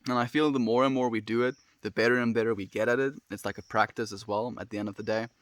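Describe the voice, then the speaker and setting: Gentle South African man on a livestream. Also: mid-range voice, little pitch movement, fast, dry recording. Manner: gentle, affectionate, says "my friend" often, light laughs. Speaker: livestream host reading chat. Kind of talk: casual livestream chat.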